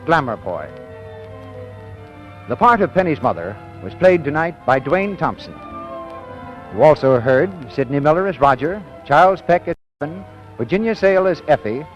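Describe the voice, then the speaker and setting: American man, 1940s radio announcer, formal and emphatic, highly animated pitch, dull old broadcast sound. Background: music.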